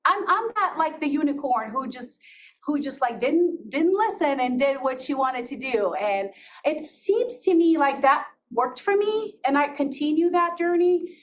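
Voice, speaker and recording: A woman's voice: high-pitched, animated, unhurried. A middle-aged woman from Azerbaijan, with Georgian as her first language, giving a candid personal talk to an audience, laughing often and restarting her sentences. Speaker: presenter. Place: presentation room. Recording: voice from far off with faint noise.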